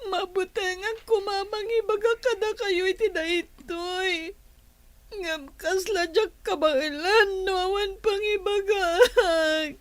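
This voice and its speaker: A woman voicing a distraught, pleading young village girl in a radio drama, with an Ilocano accent and a tearful, drawn-out delivery. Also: very high voice, fairly even intonation, no echo. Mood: tearful, frightened. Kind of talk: Filipino radio drama acting.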